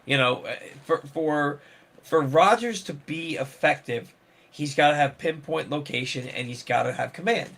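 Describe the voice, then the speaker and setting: A man's voice: fairly high pitch, moderate pace, gravelly, a bit bright. A man hosting a casual sports talk show, rambling with many restarts and fillers. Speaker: sports podcast host.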